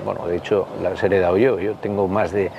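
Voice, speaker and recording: A man in his sixties with a Basque Spanish accent, rambling and halting as he casually tells anecdotes in an interview. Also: low-pitched, fairly even intonation, creaky, lo-fi sound, music in the background. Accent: Spanish from the Basque Country. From Basque Country, Spain. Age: 60s. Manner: rambling, halting, anecdotal, peppered with tag questions. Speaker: interview guest, political party member.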